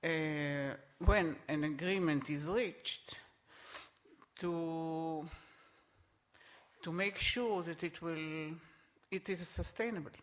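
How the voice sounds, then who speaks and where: Guy talking on a panel, pretty high voice, keeps restarting, hammers his points. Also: fairly bright tone. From Israel. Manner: emphatic, repeats points, halting restarts. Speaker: conference panelist. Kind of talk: conference panel talk.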